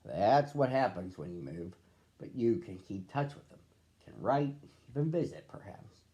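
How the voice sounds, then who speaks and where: A man voicing a practical, comforting mother bear in a children's storybook, explaining things gently. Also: fairly low pitch, big pitch swings, unhurried, highs cut off.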